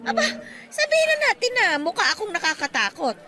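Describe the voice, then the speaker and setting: A woman's voice: very high voice, huge pitch swings, fast, full character voice. A woman doing a teasing, chatty old mother. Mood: amused. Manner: fond, bantering, laughs a lot. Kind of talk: radio drama acting. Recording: soft music underneath.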